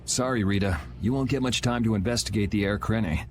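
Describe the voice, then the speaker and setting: A man's voice: on the low side, fairly quick, slight background noise, natural voice. An actor playing a cool, wry young swordsman with a casual, teasing way in a video game. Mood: cool, serious. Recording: music under the voice.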